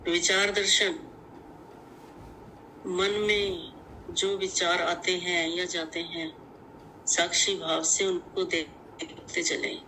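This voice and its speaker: Woman slowly guiding meditation, bright clear-edged voice. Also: on the low side, little pitch movement, a little hiss or hum, rough recording. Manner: measured, deliberate, repeating affirmations in short phrases. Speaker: meditation guide. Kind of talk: guided meditation, slow instructive narration.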